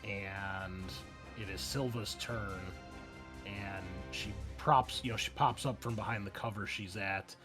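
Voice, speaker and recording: A man running a tabletop roleplaying game as game master, narrating the action in a conversational, halting way. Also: fairly low pitch, fairly even intonation. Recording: faint music behind the voice. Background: faint music.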